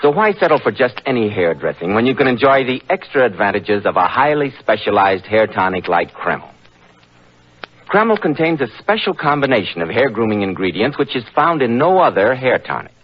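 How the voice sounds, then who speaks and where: A man's voice: medium pitch, big pitch swings, normal speed, breathy edge. An American man announcing a scripted 1940s radio commercial in a polished, emphatic selling manner. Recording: dull, noisy old radio recording.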